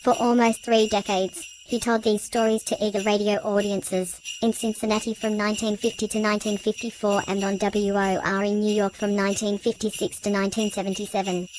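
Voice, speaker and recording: A woman hosts with scripted narration. Her pitch is mid-range and very even. Jingling sounds are clearly heard behind her. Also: brisk, few pauses, noisy background. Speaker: programme host. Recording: loud jingling bells behind voice.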